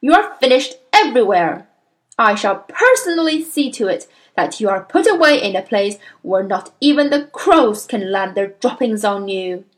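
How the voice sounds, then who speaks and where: A woman's voice: medium pitch, lively intonation, normal speed, close to an everyday voice. A woman voicing an enraged, tyrannical headmistress in a storybook, bellowing venomous insults and bullying threats. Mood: ranting rage.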